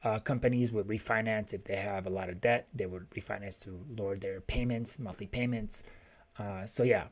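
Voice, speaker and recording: A man's voice: fairly low pitch, a little mellow. A man hosting a livestream as a macroeconomist, giving blunt, opinionated casual commentary and thinking aloud in short fragments. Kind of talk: casual live financial commentary, answering viewer questions.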